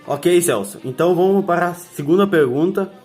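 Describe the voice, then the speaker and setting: Student interviewer, male, higher-pitched, brisk and direct with his questions. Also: darkish tone, soft background music.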